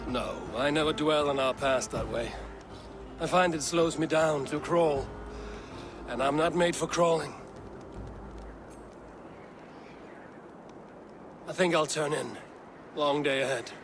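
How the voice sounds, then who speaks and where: An actor playing a loyal, plain-spoken Viking warrior in a video game cutscene, with a measured, earnest delivery. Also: male voice, on the high side, lightly acted. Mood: matter-of-fact. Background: prominent music.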